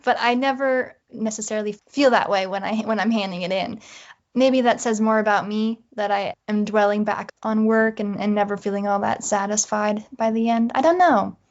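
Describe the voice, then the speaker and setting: A woman's voice: mid-range voice, steady tone, normal speed. A woman chatting casually as an interview guest, self-mocking and acting out her own thoughts as little quotes. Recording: dull, lo-fi audio.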